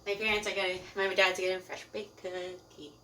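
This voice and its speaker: A woman's voice: medium pitch, flat delivery, moderate pace, pushed and bright, slight background noise. Chatty Canadian woman streaming while cooking. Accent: Canadian English. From Ontario, Canada. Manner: chatty, rambling, laughs often, many fillers. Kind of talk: casual live-stream chat while cooking. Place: home kitchen.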